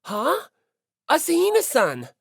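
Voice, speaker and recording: Woman as teenage schoolboy, earnest, insistent, easily flustered, animated pitch, audiobook dialogue, dry sound. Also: takes it slowly, no room sound, exaggerated voice. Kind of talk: audiobook character voice.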